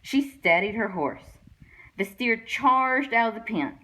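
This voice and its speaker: A woman reading a children's picture book aloud with expressive storytelling delivery. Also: a little high, takes it slowly, low-quality recording. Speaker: guest read-aloud reader.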